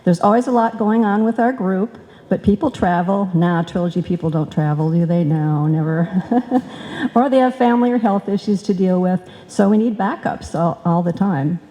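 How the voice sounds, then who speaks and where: A woman's voice: mid-range voice, moderate pace, mellow, muffled recording. A woman director giving an informal, chatty speech with joking asides to a live audience. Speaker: director of a radio players group. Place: indoor stage in a hall. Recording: reverberant hall, live stage event.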